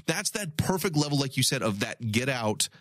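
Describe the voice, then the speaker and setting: A man's voice: mid-range voice, sing-song, moderate pace, a bit bright, no room sound, clean studio sound. A man co-hosting a podcast, joking and swearing through crude comedic banter. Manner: joking, laughs often, swears. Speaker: podcast co-host.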